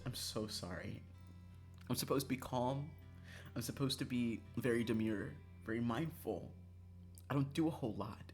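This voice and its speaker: A game streamer chatting casually and excitably, swearing freely and swinging between calm asides and mock-angry outbursts. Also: medium pitch. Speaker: livestreamer. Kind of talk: casual chat while playing a visual novel. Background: background music.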